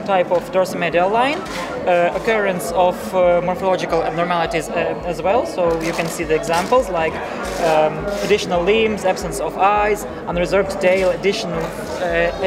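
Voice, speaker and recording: A man in his twenties with a Ukrainian accent, explaining research to camera in a halting, hesitant way with frequent fillers and restarts. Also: high-pitched. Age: 20s. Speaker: researcher presenting a scientific poster. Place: large indoor conference poster hall.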